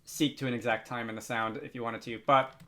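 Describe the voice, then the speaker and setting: A man hosts a software tutorial with a mid-pitched voice. He explains things off the cuff, often pausing and hesitating as he thinks aloud. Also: fairly even intonation. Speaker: tutorial host. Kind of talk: unscripted software tutorial narration.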